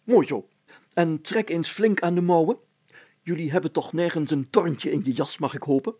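Man playing a pompous, high-pitched bossy village mayor. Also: moderate pace, a little mellow, husky. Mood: brisk, commanding. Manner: pompous, grandiose, commanding.

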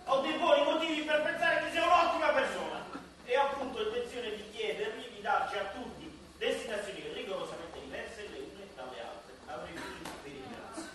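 A man's voice: very high voice, brisk. An actor playing a contemptuous, self-righteous man who speaks in a pompous, formal, declamatory way in a stage comedy. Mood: smug contempt. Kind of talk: theatrical comic speech. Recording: very echoey hall, distant, noisy.

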